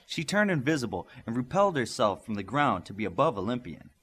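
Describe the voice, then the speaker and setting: A man's voice: mid-range voice, big pitch swings, fast talker, fairly bright tone, breathy edge. A man reading a scripted story as an audiobook narrator.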